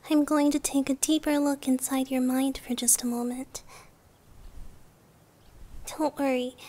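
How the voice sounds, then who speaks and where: Woman playing childlike guardian goddess of dreams for comfort ASMR: high-pitched, soft, unhurried, soothing. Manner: hushed, reassuring, often near whisper. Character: gentle childlike female dream-guardian deity.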